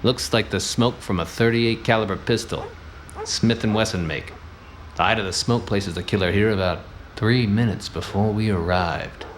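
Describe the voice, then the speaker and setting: An actor playing a wisecracking, hard-boiled 1940s detective with clipped, gruff, deadpan delivery. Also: male voice, lowish voice, moderate pace, roomy sound, slight background noise, natural voice. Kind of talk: 1940s-style radio play acting.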